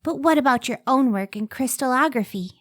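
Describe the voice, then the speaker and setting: A woman's voice: high-pitched, animated, fairly quick, fairly bright tone, dry recording, clean studio sound. A woman playing an earnest, modest scientist and devoted wife in an old-time radio drama, careful and emotive. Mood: tender, pleading.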